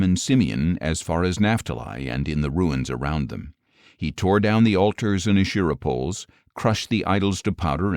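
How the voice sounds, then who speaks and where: A man narrating scripture in a measured, solemn, clearly phrased scripted reading, recorded close in a studio. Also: low voice, darkish tone, no room sound.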